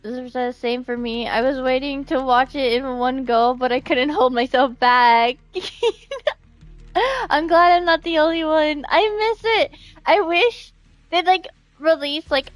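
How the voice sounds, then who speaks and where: A woman chatting casually on a game live stream, with a giggly, excitable manner. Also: high voice, unhurried, dry recording. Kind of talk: casual live-stream chat, reading out comments.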